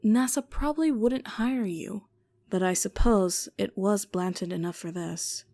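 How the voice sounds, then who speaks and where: Sardonic, impatient female doctor for game fan dub, woman acting: mid-pitched, dry sarcasm, no room sound. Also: a little slow, mellow, no echo, natural voice.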